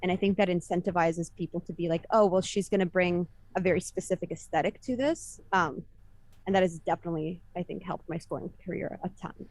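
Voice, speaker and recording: Lowish-voiced chatty woman composer. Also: fairly even intonation, fast, a little mellow, dry recording, rough recording. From Italy. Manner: chatty, many fillers and self-corrections. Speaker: guest, film composer. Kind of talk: casual interview answers.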